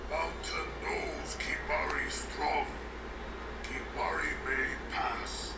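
An actor playing a proud, fierce horned beast-man warrior in a video game, with solemn, halting, declamatory speech. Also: fairly high pitch, steady tone, vocal fry, roomy sound, heavy background noise. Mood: grave, proud. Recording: distant game audio under loud music. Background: loud game music.

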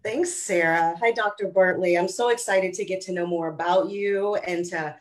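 An eager woman moderates an online interview, setting up her first question for the guest. She speaks at an ordinary pitch from a living room over a webcam. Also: steady tone, normal speed. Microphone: webcam on video call.

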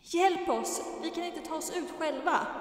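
Young cartoon animal prince, voiced by a woman, bossy yet caring, very high voice in heavy echo. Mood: urgent. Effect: cave echo. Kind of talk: cartoon fan dub. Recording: echoey cave reverb, distant.

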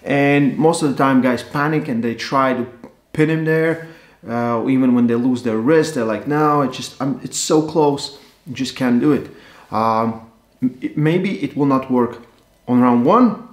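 Man in his thirties, casual animated analysis, mid-pitched, roomy sound. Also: unhurried. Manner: animated, gesturing, conversational with fillers. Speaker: arm wrestling coach and analyst. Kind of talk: casual post-match analysis. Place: living room, seated on a sofa. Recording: echoey room.

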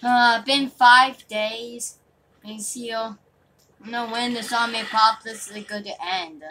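A woman's voice: a little high, fairly even intonation, slow, sharp tone. A woman chatting casually as she improvises a roleplay in a video game, recorded in a noisy room. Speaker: gamer hosting a roleplay video. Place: small bedroom, indoors. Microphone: phone filming the TV screen.

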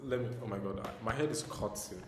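A man's voice: lowish voice, fast, slight background noise. Chatty young Nigerian man in an echoey room. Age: young adult. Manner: chatty, loose and excitable, trailing off with ums. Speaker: vlogger. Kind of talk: casual vlog chat. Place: small bare room at home, indoors. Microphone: lapel mic. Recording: echoey room with music underneath.

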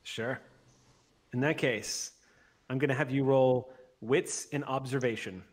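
A man of about forty runs a tabletop roleplay session. He narrates in a measured, deliberate manner with a mid-range voice, speaking close to a desk microphone. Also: mid-range voice. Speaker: game master. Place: indoor room at home, on a video call. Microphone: close desk microphone on an arm.

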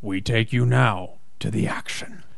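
A man's voice: lowish voice, steady tone, unhurried, vocal fry, no room sound. A man narrating a comic radio serial in an announcer-like style.

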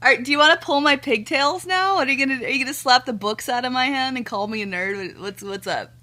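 A woman's voice: sits high, fast talker, bright, forceful tone. A sarcastic, amused woman talking back mockingly in a casual phone conversation, recorded in a small room. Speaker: call recipient.

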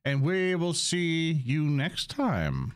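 Animated man hosting a tabletop stream, deeper register, dry sound. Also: takes it slowly, dry recording. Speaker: game master and host. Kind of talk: live-play game narration and casual outro.

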